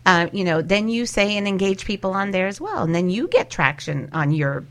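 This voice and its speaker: A woman's voice: mid-range voice, very animated, moderate pace. A woman giving casual advice as an expert guest in a panel discussion, chatty and fond of acting out little quotes. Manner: chatty, illustrates points with acted-out quotes.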